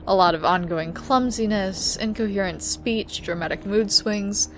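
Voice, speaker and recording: An actress playing a world-weary, guarded but caring woman in an audio drama, speaking in a measured, grave way. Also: medium pitch, background noise, close to an everyday voice. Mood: practical, caring.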